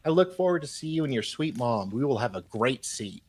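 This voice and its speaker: Man, medium pitch, casual panel chat, reading aloud, live stream. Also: sing-song, brisk, darkish tone, slight background noise.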